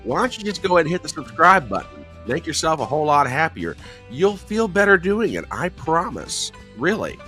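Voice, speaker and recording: A middle-aged man hosting a casual livestream, chatty and jokey, a little hoarse and nasal from a cold. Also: a little high, very animated, normal speed, sharp tone, faint music behind. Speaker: host.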